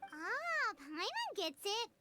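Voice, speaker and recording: Cute fairy companion, extremely high, squeaky, childlike, very animated, eager, video game, music behind. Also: female voice, slow pace, exaggerated voice.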